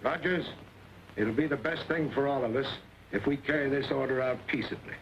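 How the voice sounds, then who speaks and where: Authoritative colonel and father male actor, mid-pitched, vintage western drama, muffled sound. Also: a little fast, lightly acted. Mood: firm, conciliatory. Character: dignified, firm army colonel, a father seeking peace. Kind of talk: 1940s western film acting. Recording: muffled, hissy old film soundtrack.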